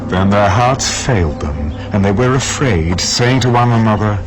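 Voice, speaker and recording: A man's voice: fairly low pitch, animated, moderate pace, poor audio. A man giving scripted dramatic Bible narration in a solemn storytelling manner. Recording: music under the voice.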